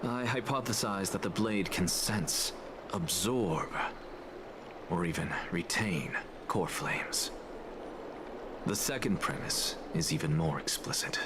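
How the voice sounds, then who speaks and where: An actor voicing an aloof, self-assured scholar in a fantasy video game, lecturing in a measured, precise way. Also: male voice, low voice, noisy background. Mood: cool, analytical.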